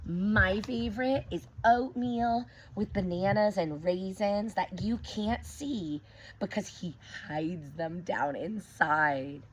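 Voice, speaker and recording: A woman teacher reading a picture book aloud to young children, expressive, stopping to ask them questions. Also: medium pitch, noisy background.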